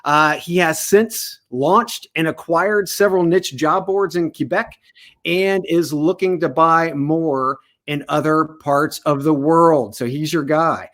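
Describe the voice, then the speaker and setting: A man from the United States hosts an online panel in a casual, joking way. His voice sits a little higher than most men's. He speaks loosely and with energy, often with a grin in his tone. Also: slow, fairly bright tone. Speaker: host.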